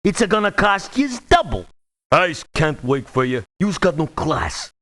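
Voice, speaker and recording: A man's voice: on the high side, very animated, moderate pace, a bit bright. A man voicing a brash, indignant mobster-like mercenary for a video game, with a New York Italian-American accent and slangy street talk. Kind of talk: video game character voice acting.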